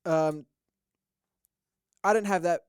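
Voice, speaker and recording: A young man with a fairly high, smooth voice tells a story on a podcast. He talks casually and with animation, and he swears freely. The recording is dry and close, with no room sound. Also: takes it slowly, no echo. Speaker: podcast co-host.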